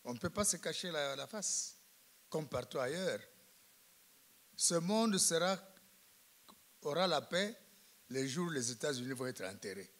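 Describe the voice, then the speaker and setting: A man commenting from the audience at a public debate, emphatic and deliberate, speaking in broken phrases. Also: fairly high pitch, animated, a bit bright.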